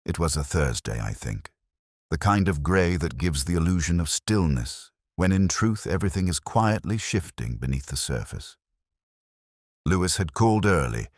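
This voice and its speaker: A man narrating as a brooding, reflective English detective, in measured, literary, melancholy first-person mystery storytelling. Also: sits low, dry recording, clean studio sound.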